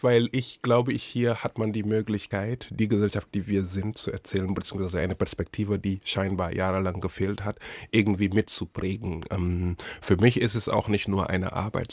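A man's voice: sits low, breathy edge, dry recording. A man from Senegal, answering as a guest in a radio interview, thoughtful and searching for words. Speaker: guest, literary scholar and curator.

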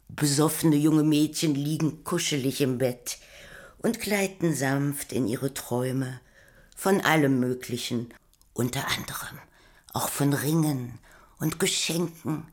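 A woman playing a hushed, dreamy storyteller in a poetic radio play, slipping now and then toward a whisper. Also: low-pitched. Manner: slow, hushed, dropping into whispers. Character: hushed, dreamy female storyteller of a sleeping city quarter. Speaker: narrator.

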